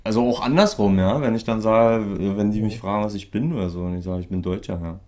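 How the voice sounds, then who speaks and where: A young man from Berlin, speaking with a Berlin German accent in a fairly low voice. He chats casually and acts out the lines of people he is quoting. He sits indoors, filmed from nearby with a camera mic. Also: quick pace. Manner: animated, acts out quoted dialogue. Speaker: interviewee. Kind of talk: casual documentary interview. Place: indoors, sitting on a mat by a woven wall.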